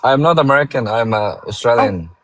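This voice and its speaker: A man in his thirties, originally from Afghanistan, calling into a live stream and speaking haltingly with many pauses. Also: mid-range voice, expressive, fairly bright tone, dry recording. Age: 30s. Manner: hesitant, halting with many fillers. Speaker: caller. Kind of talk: casual live-stream call.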